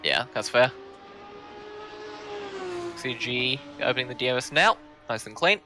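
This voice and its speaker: A man commentating an online sim race, easygoing and pausing often with fillers. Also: medium pitch, music in the background. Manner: relaxed, many filler pauses.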